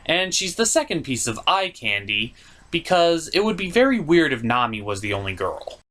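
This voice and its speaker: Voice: medium pitch, sing-song, moderate pace, fairly bright tone. A host doing a scripted comedic video review in an opinionated, sarcastic, mock-exasperated way.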